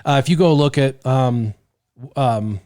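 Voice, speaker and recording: A man's voice: medium pitch, measured pace, darkish tone. A man hosting a casual podcast chat, talking into a close studio mic with lots of fillers and laughs. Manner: chatty, laughing, rambling with fillers. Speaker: podcast host.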